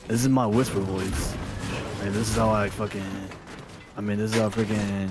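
A young man streaming a game from his room on a headset, talking in a raspy whisper so his parents won't hear. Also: lowish voice, little pitch movement, moderate pace, background noise. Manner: hushed whispering, says he keeps quiet for his parents. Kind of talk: live gaming stream chat. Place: small room at home.

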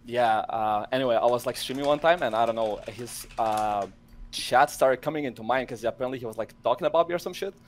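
A laid-back young man rambles with lots of fillers as a guest on a video call. He talks at a brisk pace in a middle-pitched voice. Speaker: guest streamer. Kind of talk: casual group video call.

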